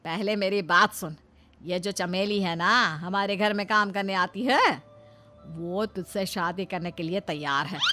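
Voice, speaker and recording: A woman's voice: mid-range voice, measured pace, pushed and bright, voice pushed down. A woman voicing a scheming, imperious older mother-in-law in a melodramatic cartoon story. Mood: commanding. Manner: imperious, emotionally pressuring, scolding.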